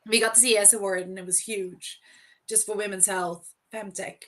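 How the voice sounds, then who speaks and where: A woman's voice: medium pitch, fairly even intonation, normal speed, a bit bright. A woman who is unwell and losing her voice, talking informally and anecdotally as an interview guest. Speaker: podcast guest, startup founder. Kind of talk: casual interview talk.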